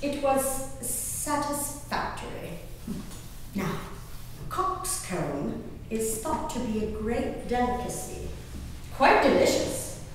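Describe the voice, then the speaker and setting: A woman's voice: a little high, sing-song. Actress as haughty genteel colonial lady, stage diary monologue, swooping intonation, prim formal diction, very echoey distant recording. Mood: fond, contented. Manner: prim, emphatic, savoring pauses, old-fashioned formal diction. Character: genteel, spirited, haughty English colonial gentlewoman diarist. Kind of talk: theatrical dramatic diary reading on stage. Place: indoor hall, live performance recorded from a distance. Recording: roomy echoing hall, distant mic, faint noise.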